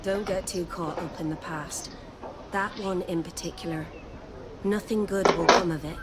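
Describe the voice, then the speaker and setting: A woman's voice: on the low side, steady tone, normal speed, noisy background, close to an everyday voice. An actress playing a guarded female admiral in a game, formal and clipped. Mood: warning.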